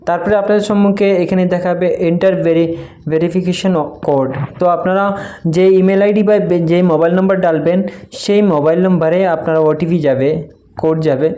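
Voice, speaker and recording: A man walks listeners through a phone app. His voice is soft-edged and mellow, sitting a little high for a man. He instructs informally, repeating each step, over faint background noise. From Barak Valley, Assam, India. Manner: repetitive, instructional phrasing. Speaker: tutorial video creator.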